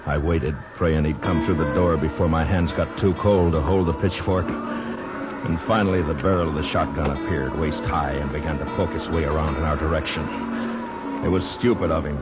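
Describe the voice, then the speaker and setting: A tough, weary Old West lawman, with a deep voice. He is grave and deliberate, in the manner of classic radio drama. The recording is muffled and has loud music behind it. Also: fairly even intonation. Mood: grim, tense narration. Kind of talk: 1950s radio western acting with first-person narration.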